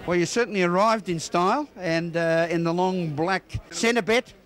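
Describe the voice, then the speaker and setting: A man's voice: sits high, normal speed, fairly bright tone. An Australian man presenting and interviewing for local TV news, with florid, wordy phrasing.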